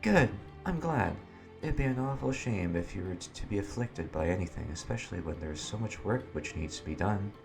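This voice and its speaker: A man voicing an elegant, gentle young actress who speaks in a soft, polite, formal way to her beloved, with Japanese music playing behind. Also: sits low, animated, fairly quick, gravelly, hardly any pauses.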